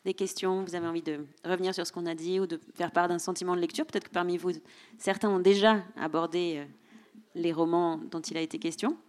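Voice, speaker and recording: A woman's voice: lowish voice, fairly even intonation, fast, a little mellow. A woman moderating a public book discussion, playful and teasing, laughing often as she questions guests and audience. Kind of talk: hosting a live public discussion. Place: public event room with audience.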